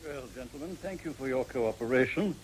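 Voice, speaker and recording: An actor playing an authoritative senior official in a radio drama, formal, courteous and decisive. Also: male voice, medium pitch, animated, a little fast, slight background noise, natural voice, poor audio. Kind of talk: period radio drama acting.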